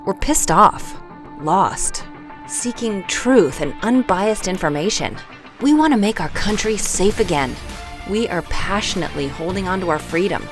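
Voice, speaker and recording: A woman's voice: mid-range voice, expressive, a little slow. A woman reading dramatic scripted narration for a programme introduction. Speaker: promo narrator. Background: music.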